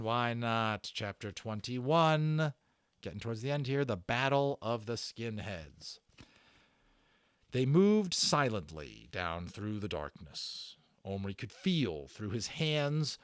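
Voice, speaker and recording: A man narrating a storybook as a dramatic, expressive read-aloud. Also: medium pitch, no room sound, clean studio sound.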